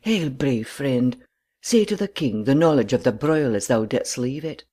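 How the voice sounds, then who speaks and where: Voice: medium pitch, expressive, unhurried, warm tone. An actor playing a young noble in a dramatic Shakespeare audiobook reading. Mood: earnest. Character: young noble addressing a wounded comrade.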